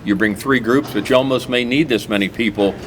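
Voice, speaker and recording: A man's voice: mid-range voice, a little fast, fairly bright tone, slight background noise. A middle-aged man hosting a local TV talk show in an easygoing way, with lots of fillers and restarts. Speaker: host. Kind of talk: casual local TV chat.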